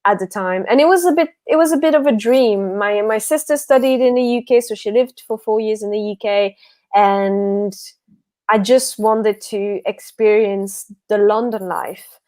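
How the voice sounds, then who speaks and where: A woman's voice: mid-range voice, moderate pace, dark tone. A woman from Belgium, a guest author on a livestream, chatting casually and a bit tired, often correcting herself and laughing.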